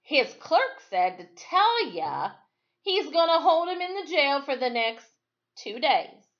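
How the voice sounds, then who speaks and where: A woman voicing a jolly, folksy man excitedly bringing good news in a storybook. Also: high voice, takes it slowly, lo-fi sound, voice pushed up, full character voice. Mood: excited, urgent.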